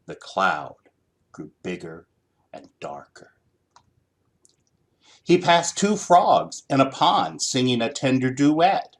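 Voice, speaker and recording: A man narrates a children's picture book with theatrical expression. His pitch swoops widely, and he takes his time with deliberate, slow phrasing. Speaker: storybook reader.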